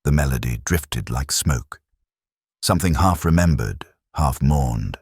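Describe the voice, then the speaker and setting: A man narrating a scripted first-person detective story in a measured, reflective, literary manner. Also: very deep voice, animated, darkish tone, breathy edge, no echo, studio quality.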